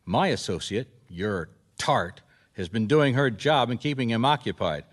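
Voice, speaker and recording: A man's voice: mid-range voice, expressive, normal speed, lightly acted. An actor playing a bossy, impatient male private detective in a radio mystery, sarcastic and commanding as he interrogates. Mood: stern, knowing. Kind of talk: radio detective drama acting.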